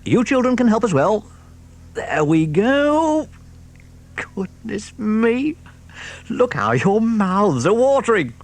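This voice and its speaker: A sly, showy fox, as a man puts him on, crowing and swooping through his words with big leaps of pitch. He sounds proud and gleeful, a storybook rascal enjoying every line. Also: slow pace, long pauses, heavily acted. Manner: gleeful, theatrical, high and lively. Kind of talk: audiobook character voice.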